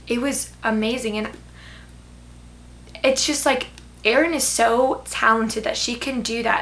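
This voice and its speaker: A young woman reviewing a book she loved in a casual, rambling vlog, hoarse as she loses her voice. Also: on the high side, a bit bright. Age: teens. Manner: gushing, rambling with many "like"s. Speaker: book vlogger.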